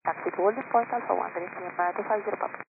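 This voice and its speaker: A male pilot reads back clearances over a thin radio link with faint static. His voice is high and noticeably creaky, and he hesitates a little on his call sign. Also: fairly even intonation, rapid-fire, phone-quality sound. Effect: radio transmission. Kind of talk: radio readback to air traffic control.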